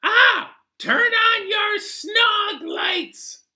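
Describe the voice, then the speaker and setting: A man voicing a goofy male passenger who mangles every word, with a squeaky, drawn-out, shouting voice. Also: heavily acted. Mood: goofy, insistent.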